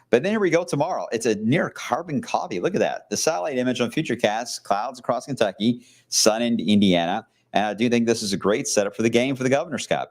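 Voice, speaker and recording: A man giving a live TV weather forecast from a shopping outlet, in a loose, chatty way with fillers and asides. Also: lowish voice. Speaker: TV meteorologist.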